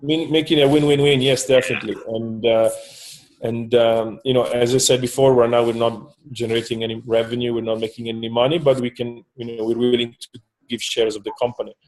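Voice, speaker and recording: A man's voice: medium pitch, little pitch movement, brisk, a little mellow, low-quality recording. A man from Switzerland, a startup co-founder, talking through a partnership in a business meeting, hesitant with many fillers and restarts.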